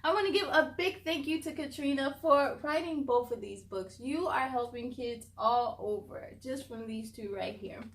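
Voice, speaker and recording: A woman hosting a children's read-aloud, expressive and encouraging as she speaks to young listeners. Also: high-pitched, moderate pace, hardly any pauses. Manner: expressive, encouraging, addresses young viewers directly. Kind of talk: animated storybook reading for children.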